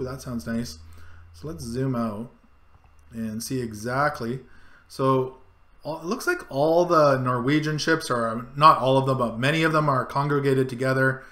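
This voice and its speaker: A man's voice: on the high side, bright, forceful tone, reverberant. A middle-aged man casually talking through a screen-share tutorial, guiding each click and zoom step by step. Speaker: travel vlogger. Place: home room, indoors.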